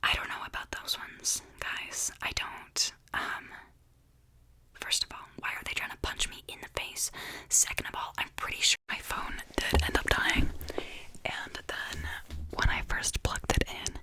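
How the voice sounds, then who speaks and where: A woman's voice: unhurried, pushed and bright, low-quality recording. Young woman whispering chatty ASMR rambles. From Canada. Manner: whispers chattily with many fillers and restarts. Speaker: ASMR creator.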